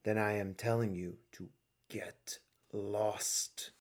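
A man acting in a radio play as an authoritative, conflicted middle-aged colonel and father, husky and emphatic. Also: lowish voice, fairly even intonation, takes it slowly. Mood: commanding.

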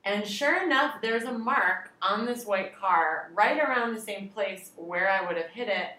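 A woman's voice: medium pitch, lively intonation, unhurried, sharp tone, roomy sound, poor audio. A woman co-hosting a podcast, telling a long self-deprecating story in a casual, rambling way. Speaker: podcast co-host. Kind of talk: casual chat.

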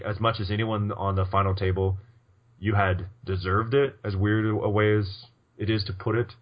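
A man's voice: on the low side, highs cut off. A man co-hosting a poker podcast in casual chat, rambling with lots of restarts and fillers. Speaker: podcast co-host.

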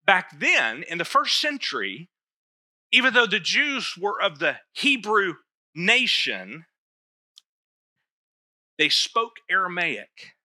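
Middle-aged pastor, chatty sermon, really bright voice, kinda high for a guy, dry close sound. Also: no room sound. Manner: conversational preaching, self-deprecating asides. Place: church.

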